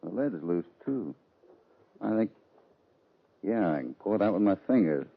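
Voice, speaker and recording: An actor playing a calm, commanding, wry American cowboy hero in an old-time radio drama. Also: male voice, fairly low pitch, moderate pace, vocal fry. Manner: steady, authoritative, dry. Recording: dull, hissy old radio recording.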